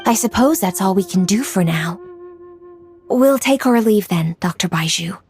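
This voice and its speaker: A woman's voice: mid-range voice, expressive, moderate pace, a little mellow, faint music behind, lightly acted. A woman playing a young, diligent female official in a video game, speaking in a composed, polite and formal way.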